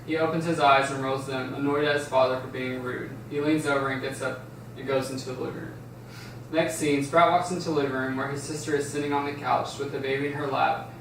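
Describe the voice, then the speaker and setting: A man's voice: mid-range voice, a bit bright. A man narrating stage directions at a script table read, recorded in an echoey room from a distance. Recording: echoey room, distant mic, faint noise.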